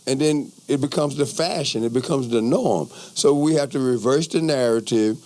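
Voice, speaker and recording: A man's voice: medium pitch, normal speed, a little mellow. A man speaking firmly and punchily as a guest on a talk radio show.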